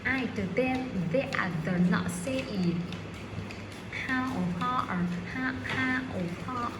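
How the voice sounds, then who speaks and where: A woman learning English reads practice questions and answers aloud, haltingly, often repeating words and restarting phrases. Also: high voice, loud music behind the voice. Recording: echoey room with clear background noise.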